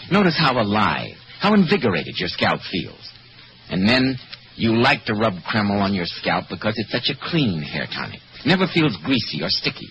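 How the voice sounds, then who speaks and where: Deeper, animated vintage radio announcer man. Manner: polished, emphatic. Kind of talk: 1940s radio sponsor pitch, scripted. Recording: muffled, noisy old radio broadcast.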